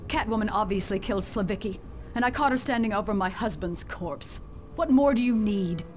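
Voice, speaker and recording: A woman's voice: mid-range voice, a little fast, lightly acted. A woman playing a ruthless, proud middle-aged corporate villain in a video game, haughty and cutting. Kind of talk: video game cutscene acting.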